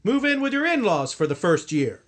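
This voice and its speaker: Man as a high-pitched blustering store boss. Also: sing-song, normal speed, very noisy. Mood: grudging. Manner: brusque, blustering, indignant. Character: gruff, stingy, blustering store boss and disapproving father. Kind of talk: recreated 1950s radio sitcom acting.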